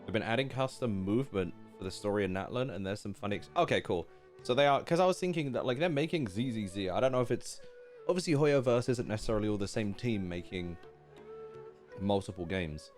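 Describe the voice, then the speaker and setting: Animated young man streaming games. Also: on the low side, fairly quick, faint music behind. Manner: slangy, playful. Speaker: game streamer. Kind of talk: casual live stream reaction commentary.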